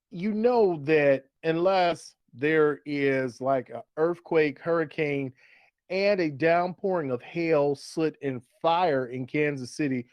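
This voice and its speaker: A man's voice: on the high side, darkish tone, no room sound. A man hosting a sports talk show with upbeat fantasy football banter, playful and fond of repeated phrases and rhetorical questions.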